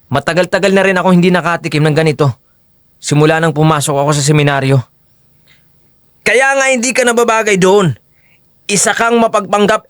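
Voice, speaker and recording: A man's voice: high-pitched, fairly bright tone, no echo, studio quality. A man acting the young brothers and friend of a Filipino audio drama with emphatic, theatrical delivery. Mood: subdued, sincere.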